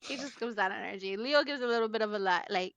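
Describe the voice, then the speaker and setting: A woman's voice: medium pitch, fast, a bit bright, no echo. A woman in casual podcast banter, talking in a slangy, blunt way as one of the book club hosts.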